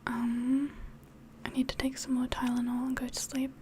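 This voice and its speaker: A woman with a slightly mixed English accent whispers softly in a rambling, hesitant way, as if hosting an ASMR livestream chat. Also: high-pitched, monotone, moderate pace, noisy background. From England. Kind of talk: soft-spoken ASMR chat, mostly whispered.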